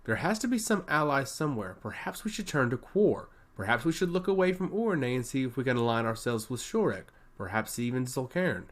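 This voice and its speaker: A man's voice: mid-range voice, big pitch swings, fairly quick, few pauses. A man voicing a worried royal daughter in a fantasy story, earnest and pleading. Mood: concerned.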